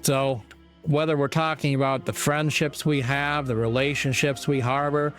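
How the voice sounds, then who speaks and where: A man delivering a reflective motivational monologue in a measured, earnest way, with deliberate pauses. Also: medium pitch, flat delivery, fairly bright tone, soft background music. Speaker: speaker giving moral reflections.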